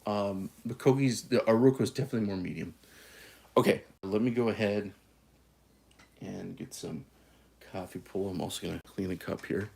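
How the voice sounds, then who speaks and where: A man's voice: lowish voice, normal speed, gravelly, long pauses, darkish tone, breathy edge. A man from California hosting a casual coffee live stream, easygoing and folksy, with many fillers and restarts. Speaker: coffee channel host.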